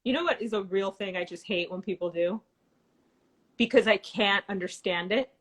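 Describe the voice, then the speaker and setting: A woman co-hosting a livestream in casual chat, expressive, chatty and self-deprecating. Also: mid-range voice, normal speed. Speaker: livestream co-host.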